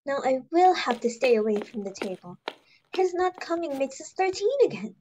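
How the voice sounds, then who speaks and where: Woman as a timid, high-voiced society daughter. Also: very animated, moderate pace, dark tone, slight background noise, low-quality recording. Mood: apologetic. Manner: meek, placating. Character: timid, obliging young daughter of a society hostess. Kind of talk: amateur dramatic play reading.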